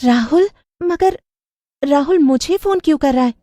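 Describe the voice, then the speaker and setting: A woman voicing an anxious, lonely young receptionist in an animated story, expressive, questioning and hesitant. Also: sits high, a little slow, dry recording, studio quality, pitched above the performer's own voice. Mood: wary, hurt. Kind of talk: dramatic cartoon story voice acting.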